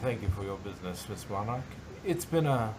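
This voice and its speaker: A man's voice: fairly low pitch, lively intonation, fairly quick, a little mellow, natural voice. A man voicing a polite, anxious female unicorn dressmaker, genteel and hesitant, with some stammering, in an amateur fan fiction reading.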